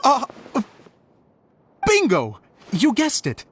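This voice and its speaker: An actor playing a good-natured, passionate theatre director in a video game, speaking in an earnest, theatrical way. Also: male voice, extremely high pitch, huge pitch swings, very slow, background noise, exaggerated voice. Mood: playful.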